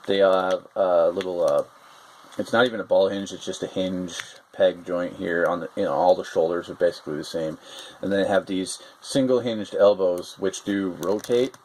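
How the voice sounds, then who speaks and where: Host voice: very low-pitched man, loose and rambling, faint hiss behind him. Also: a little fast, a little mellow, low-quality recording. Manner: rambling, hesitant, lots of fillers. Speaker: toy reviewer. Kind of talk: casual toy review chat.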